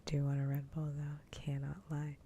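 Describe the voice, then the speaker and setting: Female ASMR streamer, hushed breathy whisper with some gravel, dark tone, intimate close mic, faint hiss. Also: sits low, completely flat delivery, moderate pace. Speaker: livestream host. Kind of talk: whispered ASMR livestream, casual chat. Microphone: close sensitive ASMR microphone.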